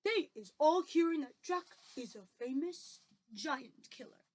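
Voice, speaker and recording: A woman voicing a gentle, cautious male giant in a children's storybook, explaining things in quaintly muddled grammar. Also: sits high, lively intonation, measured pace. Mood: grave explaining. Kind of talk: children's storybook read-aloud.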